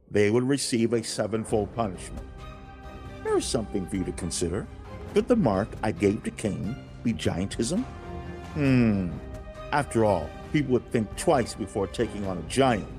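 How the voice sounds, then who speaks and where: A man playing God in a dramatized audiobook, speaking directly to humankind in a grave, fatherly, deliberate way with emphatic enunciation. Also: fairly low pitch, a little mellow. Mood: gentle, musing.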